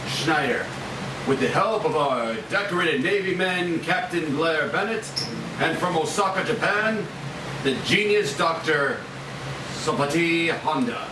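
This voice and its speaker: Male actor, higher-pitched, as a ceremonious American president in a screenplay reading, slightly halting; distant, roomy sound. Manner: halting, ceremonious, stumbles over the script. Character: American president giving a formal congratulatory national address. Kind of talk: formal speech read from a script at a table read. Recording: echoey, distant room recording with clear noise.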